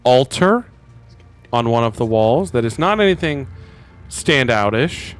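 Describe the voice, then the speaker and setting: A man acting as game master, narrating and speaking in character in halting phrases with pauses. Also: mid-range voice, expressive, clean tone, faint music behind.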